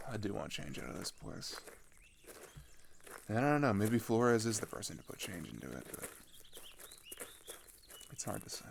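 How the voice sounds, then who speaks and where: A young man playing an earnest, frustrated teenage traveller in an ASMR audio roleplay, talking in a casual, halting, rambling way. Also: lowish voice, creaky, slight background noise, exaggerated voice. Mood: weary, doubtful.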